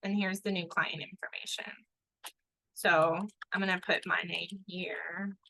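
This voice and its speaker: A woman's voice: fairly low pitch, flat delivery, a little slow, creaky, sharp tone, slight background noise, low-quality recording. A woman teaching a live online workshop on a video call, upbeat and encouraging and often checking that her students follow. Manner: upbeat, encouraging, asks for understanding. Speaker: online trainer.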